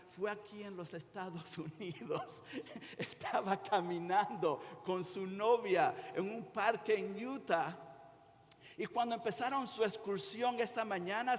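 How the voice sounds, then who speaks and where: A man in his sixties with a Venezuelan Spanish accent, preaching as a pastor in an informal, conversational way, often halting and repeating words. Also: sits high. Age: 60s. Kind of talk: sermon with anecdotes and prayer, conversational. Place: church hall during a service. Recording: reverberant hall.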